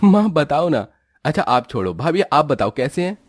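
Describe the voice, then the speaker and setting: A man voicing a kind young husband who speaks gently and reassuringly. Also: on the high side, big pitch swings, normal speed, dry recording, studio quality. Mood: cheerful.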